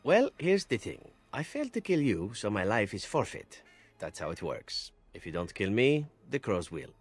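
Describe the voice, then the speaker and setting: Husky, lively, sly elf assassin. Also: male voice, fairly low pitch, moderate pace, no room sound, soft background music, heavily acted. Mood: candid, bargaining. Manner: smooth-talking, playful, formal phrasing. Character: suave, sly male elf assassin, candid and wry.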